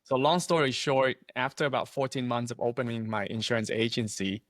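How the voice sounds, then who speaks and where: A man's voice: mid-range voice, steady tone, a little fast, no echo, studio quality. Vietnamese-born man telling his story. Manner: earnest, laughs often, many fillers and restarts. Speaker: guest, insurance agency owner. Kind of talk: casual interview storytelling. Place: small home office, indoors.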